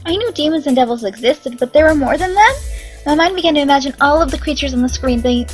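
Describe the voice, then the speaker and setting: A hoarse young woman reading a visual novel aloud, chatty with excitable asides. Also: sits high, quick pace, faint music behind. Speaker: game reader and narrator.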